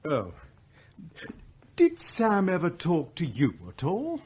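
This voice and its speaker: High, forlorn, baffled Englishman. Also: huge pitch swings, slow, darkish tone, slight background noise, exaggerated voice. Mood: mildly astonished. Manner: bewildered, mournful, hesitant. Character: forlorn, baffled, ineffectual son-in-law. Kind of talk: radio drama acting.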